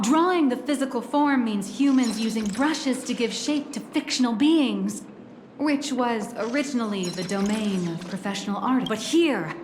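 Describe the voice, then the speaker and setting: A woman voicing a polished, upbeat female company guide in a video game, with smooth, salesy presenter patter. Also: on the high side, slight background noise, close to an everyday voice. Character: polished, upbeat female corporate guide promoting mechatron building. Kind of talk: scripted video game dialogue. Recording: roomy sound under loud music. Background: loud music.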